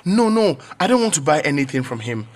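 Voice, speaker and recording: Casting: principled young Nigerian student, stubborn and earnest, higher-pitched voice with lively movement. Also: male voice, brisk. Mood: confused, apologetic. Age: young adult. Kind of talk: radio drama acting.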